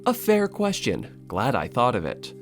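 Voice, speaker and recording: A man's voice: medium pitch, huge pitch swings, normal speed, faint music behind. A man narrating a scripted video essay as its host, in a wry, sardonic, casually sweary way.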